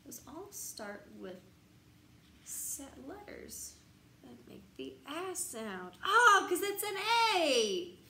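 Expressive high-voiced young woman reading aloud. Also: slow. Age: young adult. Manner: expressive, sing-song, teacherly with emphasized words. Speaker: picture book reader. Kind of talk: read-aloud children's bedtime story. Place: small glassed-in sunroom. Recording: roomy echo, light background noise.

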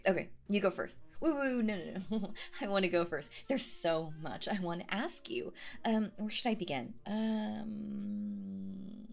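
Woman acting lively, possessive, flustered yandere girlfriend. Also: mid-range voice, gravelly. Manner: flustered, stammering, nervous giggles, swinging to jealous. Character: sweet, possessive, obsessive young woman on a date. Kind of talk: roleplay audio acting, intimate one-sided dialogue.

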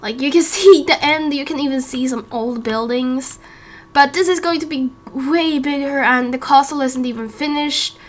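A woman's voice: high-pitched, normal speed. A woman chatting casually and unscripted to her viewers as a gaming creator, hesitant, apologetic and tired.

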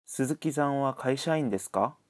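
Very slow-paced male lesson narrator. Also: mid-range voice, a little mellow. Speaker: translation narrator. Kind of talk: scripted reading of lesson translations.

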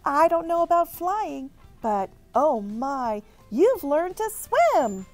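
A woman voicing a gentle, caring mother in a storybook read-aloud, speaking softly and tenderly. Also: high voice, big pitch swings, slow, faint music behind, voice pushed up, exaggerated voice. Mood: fond.

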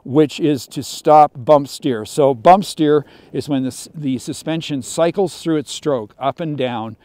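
A man's voice: on the high side, unhurried, darkish tone, no echo. A middle-aged man casually walking through a snowmobile's features outdoors in snowy woods, with frequent fillers. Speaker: snowmobile reviewer. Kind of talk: product walkaround presentation.

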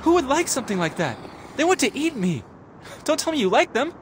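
An actor playing a nervous, excitable young male student in a video game, stammering and good-hearted but cowardly. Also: very high voice, fairly quick, a little hiss or hum. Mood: panicked. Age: young adult. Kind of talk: video game dialogue acting.

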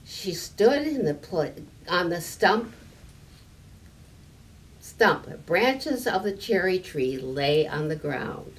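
A woman in her eighties reading a children's storybook aloud, haltingly, now and then repeating a word. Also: fairly low pitch, animated. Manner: halting, stumbling and repeating words. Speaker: story time reader. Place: library sitting area indoors.

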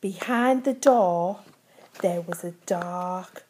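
A woman voicing an excitable, silly dog puppet who reads a storybook to a child in a playful, exaggerated way. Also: on the low side. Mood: spooky storytelling. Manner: playful, exaggerated, slow dramatic reading to a child. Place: small room at home.

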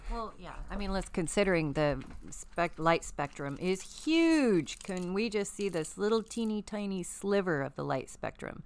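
A woman's voice: on the low side, very animated, unhurried, few pauses, smooth. A woman co-hosting a casual spiritual commentary show, speaking emphatically as she agrees and explains. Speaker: co-host.

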